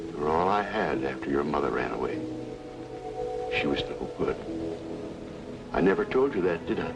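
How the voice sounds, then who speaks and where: Unhinged middle-aged father, low voice, brooding and menacing, radio drama over loud music. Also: poor audio. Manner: brooding, repetitive, muttering to his daughter. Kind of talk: old-time radio drama acting.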